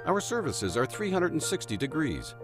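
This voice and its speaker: A man reading a scripted real estate commercial in a smooth, upbeat sales manner. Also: on the high side, very animated, normal speed. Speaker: commercial announcer. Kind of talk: scripted radio-style ad read. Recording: ad voice-over over clear music.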